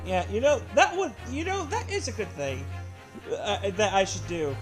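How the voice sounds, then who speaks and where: A man's voice: sits high, wildly swooping pitch, normal speed, faint music behind. A man hosting a video game playthrough with casual, chatty delivery. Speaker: host of game voice-over video. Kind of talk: casual gaming chat.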